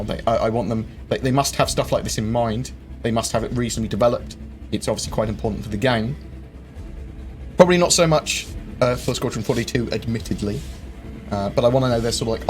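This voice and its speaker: A man hosting a live-stream Q&A, rambling through viewer questions with lots of ums and quick jokes. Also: medium pitch. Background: faint game music.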